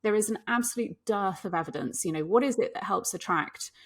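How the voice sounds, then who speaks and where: A woman's voice: medium pitch, fairly quick. A middle-aged woman from Hong Kong talks as a podcast guest, with a voice in the middle range. She speaks candidly and bluntly, and she drops in dry asides as she answers. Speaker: guest, occupational psychologist. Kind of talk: podcast interview.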